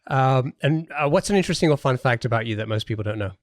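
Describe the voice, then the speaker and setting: A man's voice: medium pitch, lively intonation, fast, no echo, studio quality. A man hosting a casual interview podcast, thinking aloud with hesitations and repeated words.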